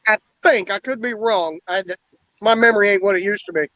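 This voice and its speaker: A man's voice: high voice, brisk, bright, forceful tone, no room sound. A man in his forties in a casual, blunt and profane chat, rambling as he talks. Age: 40s. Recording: dull lo-fi dry sound.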